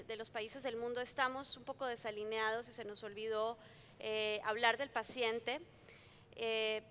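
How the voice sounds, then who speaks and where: A woman's voice: on the high side, bright, forceful tone, a little hiss or hum. Colombian woman with a Mexican-tinged accent. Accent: Colombian Spanish mixed with Mexican. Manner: earnest, fluent, repeats words when restarting. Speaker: panelist, company founder. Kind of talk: panel talk at a forum. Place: conference hall.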